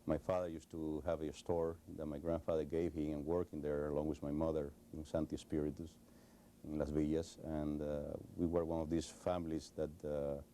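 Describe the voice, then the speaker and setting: A middle-aged man speaks in English with a Cuban Spanish accent. His voice is very deep, dark and mellow, and it stays close to a flat, even pitch. He pauses often and restarts his sentences, and there is a faint hiss behind him. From Cuba. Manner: hesitant, many fillers and restarts. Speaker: interview guest. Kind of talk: recorded television interview.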